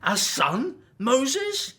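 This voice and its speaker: A man playing a cautious, devout older Hebrew slave father with guarded but expressive delivery in a scripted radio drama. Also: extremely high pitch, very slow, exaggerated voice. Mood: astonished, moved.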